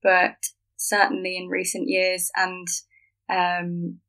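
A woman from Germany chats as an interview guest. Her voice sits a little low and stays very even, and she talks in a thoughtful, earnest way. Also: takes it slowly, low-quality recording. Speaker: podcast guest, filmmaker and actor. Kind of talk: conversational podcast interview.

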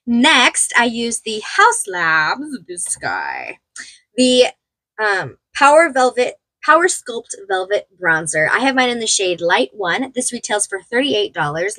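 A woman's voice: a little high, bright, forceful tone, no room sound, poor audio. A young woman getting over a cold, casually reviewing makeup in a small room at home, rambling and chatty with many fillers. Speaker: beauty vlogger.

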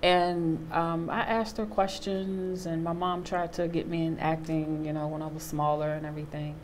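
A woman in her thirties with a low voice tells a story on a studio panel stage. She brings her tale to life by acting out the people she quotes. Her lapel mic catches a faint hum of the room. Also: level tone, normal speed. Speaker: panel guest, actor. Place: studio stage with a small audience.

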